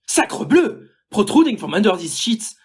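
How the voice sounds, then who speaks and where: An actor playing a courteous, shrewd foreign detective in an audio play, speaking formally with French exclamations. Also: male voice, high voice, big pitch swings, moderate pace. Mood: alarmed, urgent.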